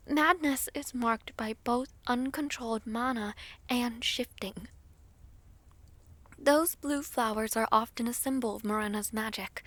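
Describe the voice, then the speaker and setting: A woman playing a gentle, anxious young half-dragon princess in an audio roleplay, speaking softly and formally. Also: sits high, exaggerated voice. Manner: soft, pensive, formal old-fashioned speech. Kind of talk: audio roleplay drama acting.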